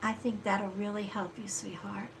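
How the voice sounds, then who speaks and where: A woman with a stuffy nose giving a live card reading, speaking in a slow, soothing, reassuring way and often checking in with "okay?" and "all right?". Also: mid-range voice, little pitch movement, creaky, background noise. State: stuffy-nosed. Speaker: psychic card reader.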